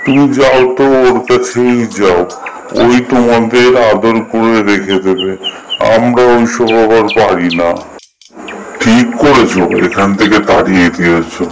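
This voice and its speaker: A man's voice: lowish voice, lightly acted, poor audio. A man voicing a grumpy, dismissive tree in a cartoon story, speaking in a scornful, brusque way. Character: grumpy, dismissive male tree turning birds away. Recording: background music under the voice.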